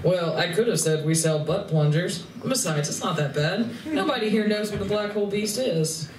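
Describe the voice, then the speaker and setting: A woman's voice: medium pitch, moderate pace, close to an everyday voice. A woman playing a sarcastic, snappy female alien in disguise in a live radio play. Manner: sarcastic, snappy, foul-mouthed. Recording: roomy live venue, distant mic, clear noise.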